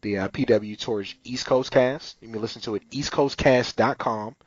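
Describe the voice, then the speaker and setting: A man's voice: mid-range voice, normal speed, dry recording. A man hosting a podcast, chatting casually in an informal, slangy way. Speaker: podcast host. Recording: dull, lo-fi podcast audio.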